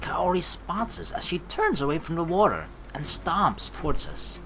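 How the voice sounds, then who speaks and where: A woman narrating a game playthrough, with animated, jokey asides and some swearing. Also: on the low side, moderate pace, rough recording. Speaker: let's play streamer. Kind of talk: playthrough narration with casual reactions. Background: background game music.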